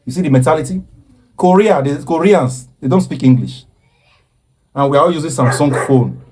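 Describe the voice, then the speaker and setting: A man's voice: fairly high pitch, animated, normal speed, dark tone, husky. A man giving impassioned social commentary in an earnest, preaching way, often stopping to repeat himself.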